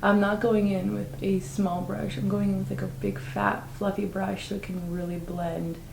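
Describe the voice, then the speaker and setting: A chatty woman talks through her makeup routine with a slightly raspy, just-woken-up voice. She sounds upbeat and speaks casually, full of fillers. The room echoes noticeably, with a faint ambient hum behind her. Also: medium pitch, level tone, normal speed, darkish tone. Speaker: beauty vlogger. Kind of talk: casual makeup tutorial talk.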